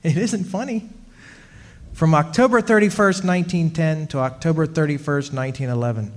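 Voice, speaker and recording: A man's voice: fairly high pitch, moderate pace, lightly acted. A man playing a henpecked husband haunted by his witch wife, telling his story in a wry, aggrieved, hesitant radio-drama manner. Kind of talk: old-time radio drama, storytelling monologue. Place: stage performance in a club hall. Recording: hall reverb, music behind.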